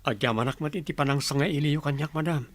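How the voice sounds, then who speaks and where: A man's voice: a little high, fast talker, no room sound, natural voice. A man acting an earnest, respectful young man in an Ilocano radio drama. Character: earnest young man devoted to his girlfriend, respectful to elders.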